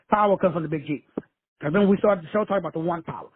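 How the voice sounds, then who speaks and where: A man's voice: fairly high pitch, slightly rough, no room sound. A man from Texas hosting a call-in show, preaching profane motivation with swagger and slang. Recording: tinny phone line, dry.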